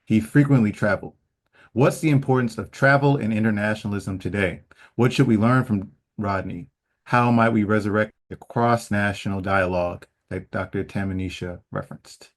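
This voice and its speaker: A man hosting a call, telling a story in a relaxed way before reading out questions from the chat. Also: on the low side, normal speed. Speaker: host.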